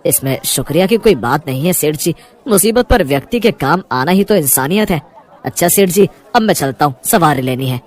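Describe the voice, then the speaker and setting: A man's voice: a little high, lively intonation, fairly quick. A man playing a poor, kindly rickshaw-puller father in a cartoon moral story, polite and reassuring. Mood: humble.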